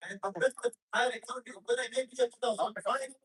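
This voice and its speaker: A man from the audience giving a halting comment, full of pauses and restarts. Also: extremely high pitch, fairly even intonation, a bit bright, breathy edge. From Afghanistan. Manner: hesitant, many "uh"s and restarts. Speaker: audience member.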